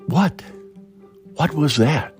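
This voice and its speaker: A man voicing an excitable, frightened young child who stammers and tends to fib, in a short story reading. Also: on the high side, drawn-out words, faint music behind, voice pushed up. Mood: startled. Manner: stammering, breathless delivery.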